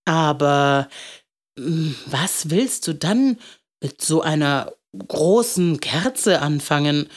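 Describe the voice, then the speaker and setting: A woman voicing a kindly, playful old male candlemaker in a storybook, talking in a gentle, ceremonious way. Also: deep voice, animated, exaggerated voice. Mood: puzzled, gentle. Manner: gentle, ceremonious, drawn-out questioning.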